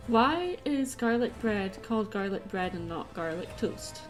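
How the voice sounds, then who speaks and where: A woman's voice: medium pitch, lively intonation, a little slow. Female livestream host, casual and playfully argumentative, mid-range voice with music behind. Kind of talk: casual chat, answering silly questions.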